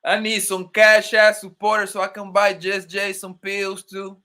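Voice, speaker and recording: A man's voice: high voice, monotone, measured pace, bright, forceful tone. A young man with a New York accent hosting a casual livestream, joking loosely and calling out chat viewers by name. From born in Colombia, raised in Brooklyn, New York. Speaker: livestream host.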